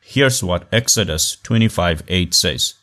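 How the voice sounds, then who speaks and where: A man teaching an online class in a voice-over on slides, speaking in short measured phrases with emphatic pauses. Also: low voice, expressive, sharp tone. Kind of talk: recorded online class lecture.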